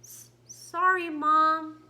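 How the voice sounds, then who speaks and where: A woman's voice: high-pitched, level tone, very slow, pushed and bright, full character voice. A woman doing a stammering little shark boy. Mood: nervous. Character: young male shark pup, nervous but trying to act brave.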